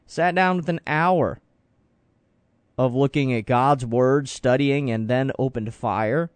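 A man's voice: mid-range voice, takes it slowly, no room sound. A man hosting a morning call-in radio show, talking in an earnest, opinionated way with frequent restarts. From Florida. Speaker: radio talk show host. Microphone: studio microphone.

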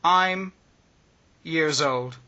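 A man slowly reading short phrasebook sentences one by one, with pauses between phrases, as a language lesson. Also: a little high, bright, forceful tone, dull audio. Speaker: language teacher.

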